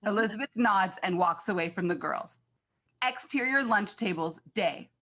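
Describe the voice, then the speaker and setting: A woman's voice: mid-range voice, animated, moderate pace, bright, forceful tone. A woman reading scripted stage directions as the narrator of a screenplay.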